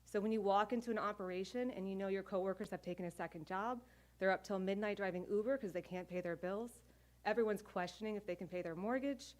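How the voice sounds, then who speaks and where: A middle-aged woman speaking as an air traffic controller at a press conference, earnest and measured. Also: mid-range voice, a little mellow.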